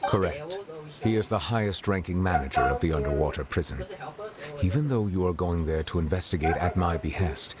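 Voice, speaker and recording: An actor playing a grave, courteous chief justice in a video game, speaking in formal, measured sentences. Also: male voice, sits low, close to an everyday voice. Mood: solemn. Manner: formal, measured, stately wording. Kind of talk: game dialogue acting.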